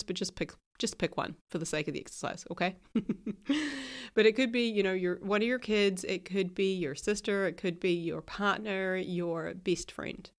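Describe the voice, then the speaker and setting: A woman with a New Zealand accent hosting a podcast, still a little nasal from a cold. She talks frankly and casually, swears now and then and laughs often. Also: medium pitch. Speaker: podcast host.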